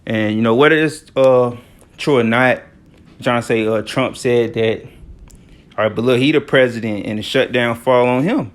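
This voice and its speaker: A man's voice: mid-range voice, normal speed. A man from the United States giving informal, slangy commentary on news and scripture, often punctuating his talk with filler phrases. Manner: conversational, slangy, repeats "all right" and "you know". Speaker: religious commentator.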